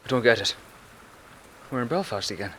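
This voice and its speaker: An actor playing an earnest, anxious, haunted immigrant cab driver in a radio play, speaking simple broken English. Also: male voice, mid-range voice, animated, fairly quick, a bit bright, a little hiss or hum, close to an everyday voice. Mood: shaken, grateful.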